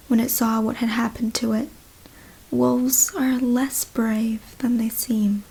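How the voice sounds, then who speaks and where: A woman reading a story as ASMR in a soft, breathy whisper, hushed and deliberate. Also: on the high side, low-quality recording. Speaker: ASMR reader.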